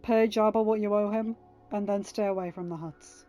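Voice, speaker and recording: A woman voicing a tender, calm, resolute warrior husband in a story reading, earnest and reassuring. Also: medium pitch, normal speed, muffled recording, faint music behind, lightly acted. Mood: firm. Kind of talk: dramatised audiobook reading.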